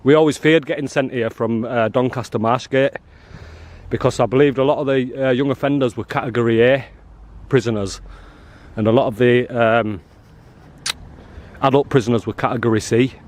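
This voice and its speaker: A man filming outside a prison, talking loudly and bluntly to the officers and to his viewers. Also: medium pitch, steady tone, moderate pace. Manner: loud, blunt and challenging, calls people "love" and "mate". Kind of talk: confrontational street filming with commentary to viewers.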